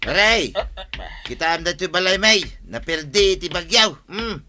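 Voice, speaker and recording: Hot-headed aggrieved village guy, very sharp piercing voice, radio comedy drama. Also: high voice, lively intonation, moderate pace, slight background noise, full character voice. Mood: dismayed. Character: aggrieved hot-tempered villager with a damaged house.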